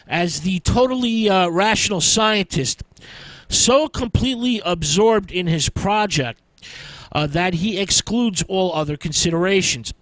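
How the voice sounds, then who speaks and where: A man presenting film history commentary as a radio host, speaking haltingly in short, measured phrases. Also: high voice, fairly bright tone, dry recording, rough recording.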